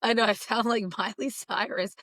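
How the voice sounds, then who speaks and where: A woman co-hosting a podcast, hoarse today, chatting excitedly about bands she loves. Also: on the high side, moderate pace, no echo. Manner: chatty, excited fan storytelling. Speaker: podcast co-host. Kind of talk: casual podcast chat.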